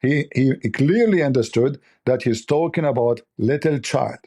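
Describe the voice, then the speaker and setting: A man with a voice worn hoarse, giving scornful, lecturing commentary on religious texts in non-native English. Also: mid-range voice, a little slow, warm tone. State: losing his voice. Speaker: religious debate host. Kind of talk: polemical commentary reading texts.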